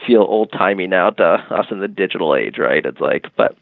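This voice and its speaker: Brief: low-voiced gravelly male guest, halting and filler-heavy, tinny dry phone-quality line. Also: expressive, dry recording. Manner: hesitant, lots of "you know" and "um" fillers. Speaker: guest, music marketing tech company. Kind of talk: casual podcast interview. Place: Boston. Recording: dry, phone-like narrow band.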